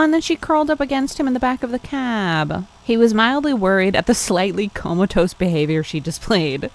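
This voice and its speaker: A woman podcast host with a slightly low voice reads fan fiction out loud in an informal way. She moves between narrating and sassy, gossipy commentary of her own, full of exclamations. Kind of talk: reading fan fiction aloud with casual, reacting asides.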